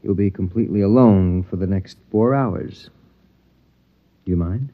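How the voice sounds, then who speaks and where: An actor playing a brusque, impatient husband in a 1950s radio drama. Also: male voice, deep voice, a little slow. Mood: apologetic. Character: stern, calculating husband. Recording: dull old radio broadcast.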